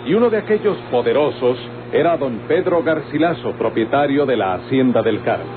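A man's voice: mid-range voice, expressive, a little fast, background noise. A Mexican man narrating an old radio novela from a script. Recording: tinny old radio recording. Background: music.

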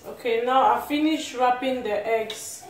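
A woman's voice: on the high side, unhurried. A woman with a Nigerian accent giving a casual, step-by-step cooking demonstration as a home cook. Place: small tiled home kitchen, indoors. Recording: roomy, noisy home kitchen phone recording.

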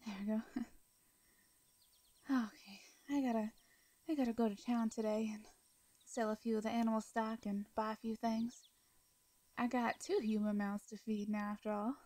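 A woman playing a gentle Southern farm woman, higher-pitched. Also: fairly even intonation, moderate pace, pauses often, lightly acted. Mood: friendly, reassuring. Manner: soft, hesitant, folksy endearments. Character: caring young female farmer, gentle, folksy, protective. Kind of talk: intimate roleplay audio drama acting.

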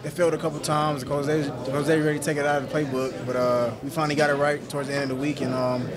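A young college football player answering reporters after a game, soft-spoken and halting, with many fillers and repeated words. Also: male voice, medium pitch, little pitch movement. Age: young adult. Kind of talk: post-game press interview. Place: indoor media room with reporters around. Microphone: reporters' handheld recorders held close. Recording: noisy press scrum with heavy crowd.

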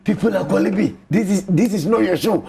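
A Ghanaian man in his thirties hosting a TV sports show in a studio, with an animated, exclamatory hype-man delivery. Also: high voice, fairly quick, creaky, a loud crowd. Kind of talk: lively TV sports talk mixing English and Twi.

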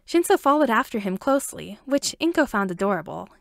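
A woman narrates fiction in an amateur audiobook style. Her voice sits a little high and moves with very animated intonation. The recording is dry and close, with no room sound. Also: fairly quick, gravelly, no room sound. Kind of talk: scripted story narration.

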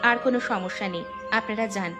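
A woman's voice: medium pitch, fast, loud music behind the voice, natural voice. A woman voicing an eager, scheming, educated young village daughter in a Bengali cartoon, speaking emphatically. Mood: eager, persuasive.

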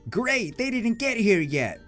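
A man's voice: high-pitched, very animated, slow, a bit bright, soft background music, voice pushed up, heavily acted. A man voicing an eager, cocky young boy monster trainer in a comic manga reading. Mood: determined.

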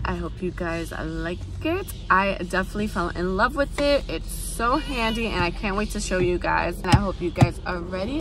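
A woman's voice: mid-range voice, noisy background. A woman in her thirties, a cleaning vlogger with a congested, slightly cracky voice, talking casually and upbeat to her phone camera. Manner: chatty and upbeat, repeats "guys". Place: parked car, then a home playroom.

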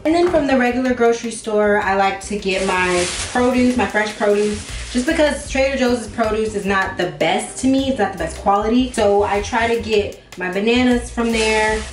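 A woman's voice: fairly high pitch, normal speed, few pauses. A woman in her twenties chatting informally to viewers in slangy, playfully emphatic speech, recorded on a camera a few feet away in a home kitchen. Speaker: vlogger. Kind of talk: casual chat to viewers, grocery haul. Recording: echoey room, faint music behind.

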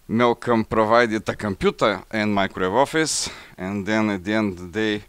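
Deep-voiced Bulgarian man presenting. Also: normal speed, a bit bright, smooth, no room sound. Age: middle-aged. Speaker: conference presenter, engineer. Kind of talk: technical slide presentation. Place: indoor forum room, seated at a table before a banner.

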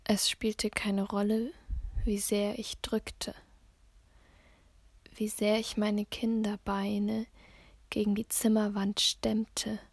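A woman reciting spoken-word poetry in a hushed, near-whispered voice, measured, with long pauses between short phrases. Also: mid-range voice, completely flat delivery, vocal fry, slightly rough, dry recording. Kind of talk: spoken-word poetry reading, slow and sparse.

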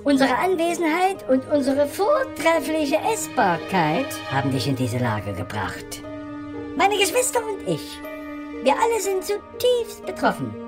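A woman's voice: high voice, huge pitch swings, unhurried, over music, full character voice. A woman voicing an earnest, over-polite billy goat in a fairy-tale parody radio play, with a bleating, wordy delivery. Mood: earnest, insistent.

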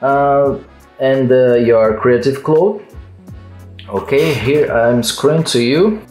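A man in his thirties from Brazil, speaking English with a Brazilian Portuguese accent, walking through a software fix with halting phrasing and many fillers. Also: mid-range voice, reverberant, faint music behind. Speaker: tech tutorial host. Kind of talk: screen-recorded software tutorial. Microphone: headset.

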